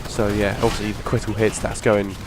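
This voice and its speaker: Hoarse young man, low flat voice, rambling game commentary, faint hiss. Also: fast. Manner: rambling, restarts a lot, casual swearing. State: hoarse from a cough. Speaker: gaming channel host. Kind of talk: casual gameplay commentary.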